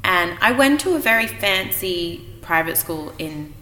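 Bright-voiced woman in her thirties. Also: on the low side, expressive, a little slow. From Kent, England. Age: 30s. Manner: chatty, self-mocking. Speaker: guest. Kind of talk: casual chat. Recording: roomy, with faint background hiss.

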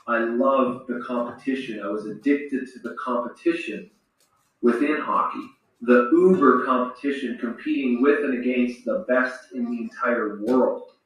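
A middle-aged man who once played hockey answers children's questions in a slow, reflective way, often restarting his sentences. His voice has a bright, forward edge. He sits some distance from the mic, and the room gives his words a heavy echo. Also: mid-range voice. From Saskatchewan, Canada. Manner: reflective, speaks slowly with frequent restarts. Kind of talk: thoughtful Q&A with children. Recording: roomy, echoey sound from far off.

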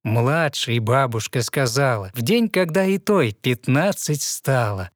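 A man narrating a fairy tale in verse with expressive, rhythmic recitation. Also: mid-range voice, unhurried, no echo, clean studio sound. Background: music behind the voice.

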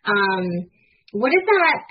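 A woman's voice: high voice, sing-song, takes it slowly, husky. A woman, a podcast co-host asking questions in a searching, hesitant way. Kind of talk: hosting a podcast interview. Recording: dull lo-fi audio.

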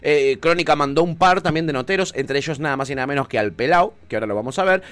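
An Argentine man hosting a radio talk show, his voice sitting a little high for a man. He chats loosely and jokes, and he stalls on many hesitant fillers along the way. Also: fast talker, a bit bright, smooth. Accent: Argentine Spanish. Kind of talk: casual political chat.

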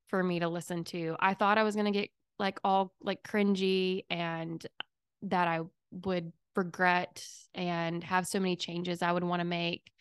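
Reflective, slightly raspy woman podcaster. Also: dry recording, studio quality. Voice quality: raspy, low. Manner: rambling, emotional, many fillers. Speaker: podcast host. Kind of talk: reflective solo podcast chat. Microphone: studio microphone.

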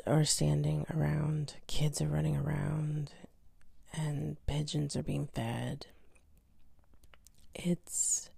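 A woman hosting her own podcast, her voice raspy and heavily gravelly from just waking up. She speaks slowly and softly, often half-whispering, with a dark, husky tone and plenty of hesitations. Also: deep voice, dry recording. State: raspy just-woken morning voice. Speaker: podcast host. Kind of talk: casual solo storytelling.

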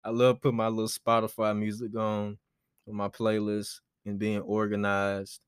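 20 yr old guy talking solo on his podcast, kinda low voice, dry close sound, rambly. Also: normal speed, clean tone, no echo. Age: 20s. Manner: relaxed, rambling, frequent fillers. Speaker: podcast host.

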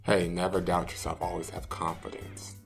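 A man's voice: low-pitched, level tone, moderate pace, gravelly, soft background music. A man co-hosting a podcast, tossing casual banter and short replies into the chat. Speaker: podcast co-host.

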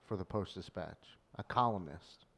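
A man's voice: sits low, moderate pace, creaky, fairly bright tone. A man co-hosting a podcast, teasing and telling stories in casual banter. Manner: teasing, storytelling. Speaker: podcast co-host.

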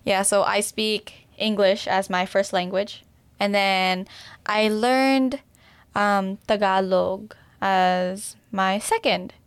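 A woman talks in a chatty, agreeable way and laughs easily. Her voice sits at a middling pitch. The recording is dry and close, with no room sound. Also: fairly even intonation, takes it slowly, clear, resonant tone, no echo. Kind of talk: casual chat.

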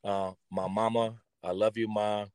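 A middle-aged American man with a fairly low voice talks off the cuff as a guest. His delivery is informal and heartfelt, and the sound is dry with little room around it. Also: little pitch movement, unhurried, a little mellow, clean tone, no room sound. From Pontiac, Michigan, United States. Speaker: podcast guest, performing artist. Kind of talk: casual conversational interview talk.

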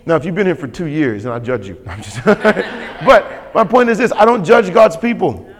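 A man's voice: high-pitched, animated, moderate pace. A man preaching a sermon as pastor, emphatic and repetitive, calling for responses from the congregation. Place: church sanctuary. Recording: long hall reverb.

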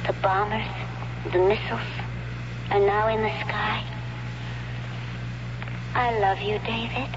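An actress playing a grieving woman giving a dying testament, solemn and deliberate, in old radio drama style. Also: mid-range voice, flat delivery, lightly acted. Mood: solemn sorrow. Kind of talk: 1970s radio drama acting. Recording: muffled, noisy old radio recording.

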